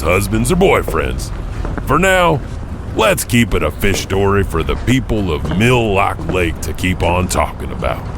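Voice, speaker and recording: Male horror-legend host: low, creaky, theatrical, over noticeable rumbling noise. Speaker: storytelling host. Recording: clearly noisy background rumble.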